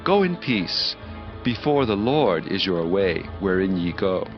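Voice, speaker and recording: A man's voice: deep voice, big pitch swings, unhurried. A man playing a composed young wandering Levite priest in a dramatized scripture reading. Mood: solemn blessing. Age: young adult. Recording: dull audio with music underneath.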